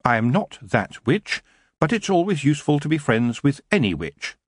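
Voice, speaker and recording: A man voicing a clever young girl witch who speaks crisply and firmly, with a husky voice. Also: medium pitch, big pitch swings, moderate pace, no echo, studio quality, pitched above the performer's own voice. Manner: crisp, sweetly firm.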